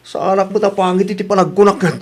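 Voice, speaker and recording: A man's voice: high-pitched, steady tone, fast talker. A man acting a vain, easily wounded young suitor in a radio drama. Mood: sulky, offended.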